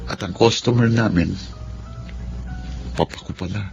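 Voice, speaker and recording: Low-voiced, earnest young Filipino son. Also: male voice, unhurried, gravelly, darkish tone. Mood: sorrowful. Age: young adult. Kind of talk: Philippine radio drama acting. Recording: muffled lo-fi radio drama, music behind.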